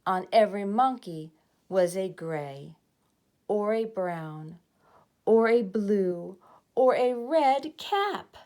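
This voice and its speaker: A woman reading a children's story aloud in a deliberate, expressive way, drawing out words for young listeners. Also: mid-range voice. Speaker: storybook reader.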